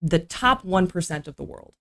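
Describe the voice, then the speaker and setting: A woman's voice: lowish voice, expressive, normal speed, pushed and bright. A woman guest talking informally on a podcast, explaining with rhetorical questions. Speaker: guest, archaeology graduate. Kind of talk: casual podcast conversation.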